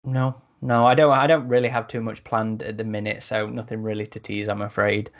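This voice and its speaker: A man's voice: lowish voice, little pitch movement, a little fast, muffled recording. Casual male podcast host.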